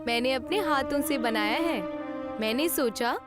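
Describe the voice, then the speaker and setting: Supportive, practical village wife for a cartoon tale, played by a woman: high voice, encouraging delivery, loud music behind. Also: a little slow. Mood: proud. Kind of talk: animated moral story dialogue in Hindi. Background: loud background music.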